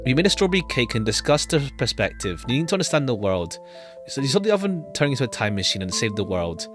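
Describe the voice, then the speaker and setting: Very low-voiced woman streaming a game. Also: big pitch swings, fast talker. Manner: loose, thinking aloud. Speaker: streamer playing and commenting on a game. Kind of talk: casual live gameplay commentary. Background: jazzy game background music.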